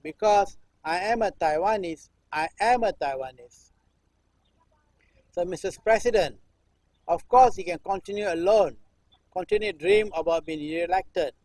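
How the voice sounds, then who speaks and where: High-voiced older man chanting a refrain. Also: a little mellow, no echo, low-quality recording. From Singapore. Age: 60s. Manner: declaims a read text, breaking it up with a repeated chanted refrain; halting, with clipped restarts on words. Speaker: performance artist. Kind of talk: live performance-art recitation.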